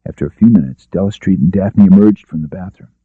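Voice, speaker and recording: A man's voice: low-pitched, quick pace, husky, no echo, narrow, tinny audio. A man reading scripted narration of a crime novel as an audiobook narrator.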